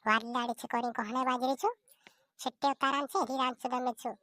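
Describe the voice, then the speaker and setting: A high, dry-sounding voice of a woman acting as a bickering villager in a cartoon comedy. The character scolds and argues, going over the same phrases again and again. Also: steady tone, racing, bright, forceful tone, no room sound. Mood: scolding.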